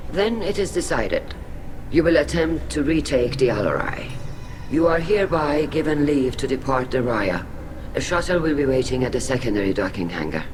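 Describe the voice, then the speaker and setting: A man voices a grave alien elder presiding over a tribunal in a video game. His mid-pitched delivery is formal, measured and ceremonial, with clear background ambience behind it. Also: expressive, background noise, close to an everyday voice.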